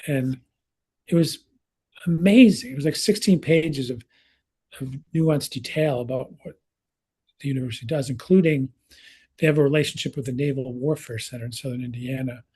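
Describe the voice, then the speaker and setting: A man's voice: medium pitch, moderate pace, pauses often, mellow, husky. A man in his sixties speaking on a panel in a conversational way, with many restarts and fillers, over a video call. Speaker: researcher and speaker. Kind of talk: panel talk.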